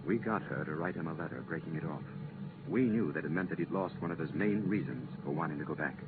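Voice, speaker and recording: An actor playing an earnest, regretful but firm commission official in a 1950s radio drama, speaking deliberately and emphatically. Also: male voice, extremely low pitch. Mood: grave explaining. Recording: muffled, noisy 1950s radio transcription.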